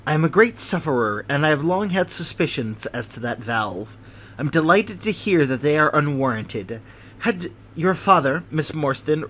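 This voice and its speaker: A man voicing a fidgety, anxious bald little man of thirty with a high, thin voice and fussy, overly polite manner. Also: bright, forceful tone, slight background noise.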